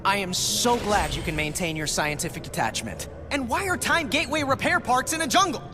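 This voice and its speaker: High-voiced wisecracking young American superhero. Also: male voice, expressive, fairly quick. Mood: sarcastic, exasperated. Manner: sarcastic, quippy, exasperated. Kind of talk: video game cutscene acting. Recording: loud game music behind. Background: game music.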